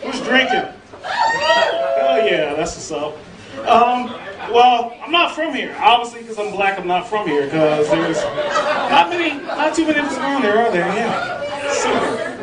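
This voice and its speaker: A man's voice: extremely high pitch, wildly swooping pitch, a little slow. A man doing a brash, profane stand-up set on a club stage, teasing the crowd. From southern United States. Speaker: stand-up comedian. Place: comedy club stage before a live crowd. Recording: roomy live club audio from a distance with crowd.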